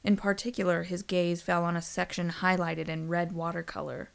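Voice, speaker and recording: Low, creaky woman narrator. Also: level tone, normal speed. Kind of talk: scripted story narration.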